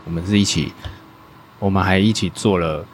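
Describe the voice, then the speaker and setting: A man answering interview questions as a guest designer, speaking hesitantly with many pauses and restarts. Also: low voice, steady tone, slight background noise.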